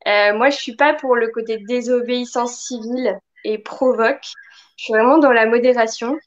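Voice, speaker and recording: A woman's voice: on the high side, steady tone, moderate pace. A woman speaking as a guest in a casual live interview, joining remotely. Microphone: remote video call. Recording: slightly dull remote call sound.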